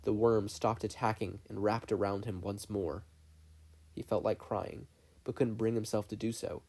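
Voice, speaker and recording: Casting: audiobook-style narrator for fan fiction, medium-low androgynous pitch, dark mellow tone. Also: fairly even intonation, moderate pace. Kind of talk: scripted story narration.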